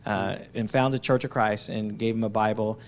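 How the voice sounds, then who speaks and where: A man's voice: lowish voice, steady tone, moderate pace. A man giving an anecdotal church testimony as a missionary, telling it conversationally and acting out what was said. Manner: conversational storytelling, acts out quotes.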